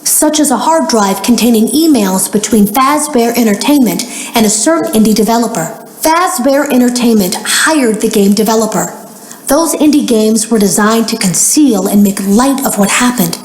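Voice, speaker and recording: A woman's voice: on the high side, a little mellow, lightly acted. Echoey, grave female developer voiced by an actress. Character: anxious female game developer leaving secret warning logs. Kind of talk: recorded log monologue, dramatic game voice acting.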